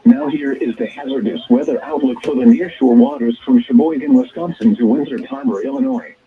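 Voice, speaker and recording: A man's voice: a little high, wildly swooping pitch, fairly quick, vocal fry. A synthetic American male voice reading scripted weather bulletins in a formal, impersonal, segmented way, heard over the radio. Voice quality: synthetic text-to-speech voice. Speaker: automated weather radio announcer. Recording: dull, band-limited weather radio broadcast.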